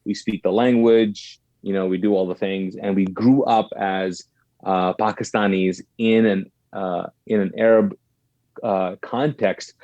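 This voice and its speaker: A man's voice: sits low, fairly even intonation, measured pace, darkish tone, no echo, muffled recording. A middle-aged man from Kuwait, a podcast guest telling personal stories conversationally, with asides and self-corrections.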